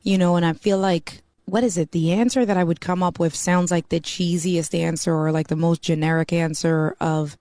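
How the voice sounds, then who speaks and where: A woman's voice: fairly low pitch, steady tone, normal speed, few pauses, mellow, dry recording. A woman from the East Coast of the US, a mortgage banker talking as a podcast guest in a chatty way, full of "you know.". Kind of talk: casual podcast conversation.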